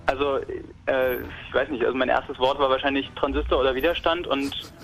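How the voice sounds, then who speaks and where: A man's voice: mid-range voice, flat delivery, fairly quick, creaky, fairly bright tone, soft background music, rough recording. Young man explaining his robot hobby. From Berlin. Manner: rambling, many fillers and restarts. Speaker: hobbyist presenting a walking robot project. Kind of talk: casual technical talk.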